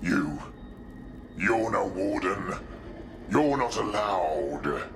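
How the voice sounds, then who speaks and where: An actor playing a corrupted, menacing warrior cultist in a fantasy video game, speaking with ominous solemnity. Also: male voice, low voice, lively intonation, extremely slow, background noise, exaggerated voice. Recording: game music behind.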